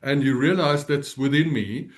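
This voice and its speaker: A man whose first language is Afrikaans, speaking as an interview guest in a gentle, earnest way and laughing often. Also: mid-range voice, normal speed. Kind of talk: reflective interview talk.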